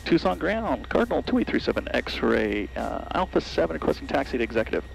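An American male pilot with a gravelly, creaky voice makes clipped, slightly hesitant radio calls on a cockpit headset. Loud music plays behind him. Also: medium pitch, sing-song, fairly quick, low-quality recording. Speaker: private pilot. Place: small airplane cockpit. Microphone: aviation headset boom mic. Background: music, aircraft.

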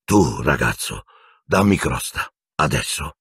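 A man voicing a desperate, haggard male escaped convict in a storybook reading, hoarse and urgently hissing. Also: extremely low pitch, sing-song, slow, fairly bright tone, dry recording, clean studio sound, heavily acted. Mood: grim urgency.

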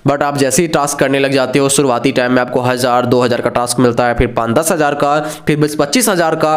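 A young man on a lapel mic in a small indoor studio, explaining an online scam straight to the camera in an earnest, conversational warning tone. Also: mid-range voice. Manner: earnest, warning, conversational Hindi-English mix. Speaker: scam-awareness YouTuber. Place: small indoor studio with star-light backdrop.

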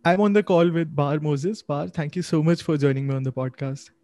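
A man's voice: a little high, brisk, dark tone, dry recording. A young man hosting an interview podcast, introducing his guest and asking questions into a close desk microphone. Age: young adult. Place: small home office, indoors.

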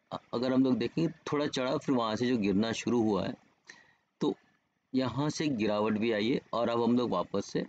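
A man's voice: mid-range voice, a little mellow. A man explains markets informally as a trading educator, often checking in with the listener. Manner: explanatory, with repeated check-in tags. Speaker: stock trading educator and host. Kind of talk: informal market analysis over screen charts. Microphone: voice-over on screen recording. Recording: dull, muffled lo-fi voice-over.

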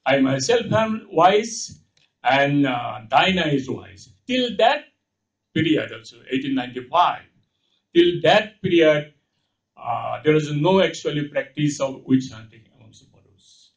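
Casting: older male lecturer, Indian accent, highish swooping voice, halting and emphatic, distant dull hall sound. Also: big pitch swings. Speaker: speaker at a panel talk. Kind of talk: extempore public lecture. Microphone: podium microphone, heard from far off.

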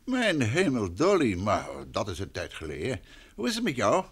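An actor playing a genial, self-assured gentleman detective in an old Dutch radio play, courteous and probing. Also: mid-range voice, wildly swooping pitch, moderate pace, slightly rough. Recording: noisy vintage radio recording.

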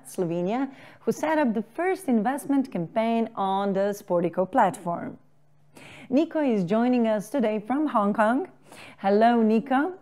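A woman's voice: fairly high pitch, darkish tone. A woman in her forties hosting an online summit from a studio set, giving formal scripted introductions on a lapel mic. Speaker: summit host. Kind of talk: scripted presenting.

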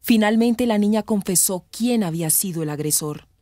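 A woman's voice: medium pitch, normal speed, warm tone, no room sound, clean studio sound. A woman with a Colombian accent giving dramatic scripted narration as the storyteller of a radio soap. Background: music.

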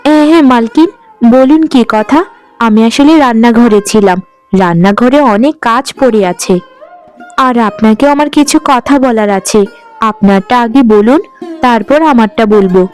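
A woman's voice: fairly high pitch, moderate pace, a little mellow, close to an everyday voice. A woman playing a dutiful young housemaid in a cartoon, polite and earnest in the way she talks. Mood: deferential, pleading. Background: faint music.

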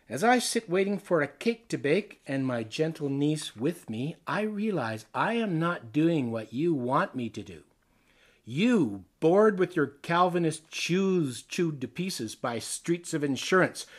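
A Canadian man, an actor, reading poetry aloud in a theatrical way with deliberate phrasing. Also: on the high side. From Ontario, Canada.

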